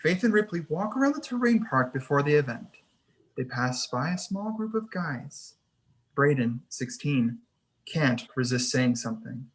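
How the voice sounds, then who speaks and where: A narrator at a screenplay table read, with a voice of medium pitch. The delivery is scripted narration of scene headings and action. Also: sing-song, a little slow, breathy edge, rough recording. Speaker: narrator reading stage directions.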